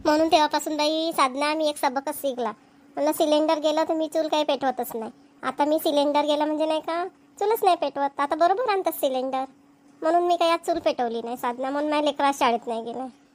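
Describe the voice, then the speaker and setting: A woman's voice: high-pitched, fast talker, a little mellow, lightly acted. A woman voicing a harried village mother in a cartoon comedy, chatty and complaining, with a Vidarbha Marathi accent. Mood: grumbling, explaining. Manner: chatty, grumbling, repetitive. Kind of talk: amateur cartoon comedy dialogue.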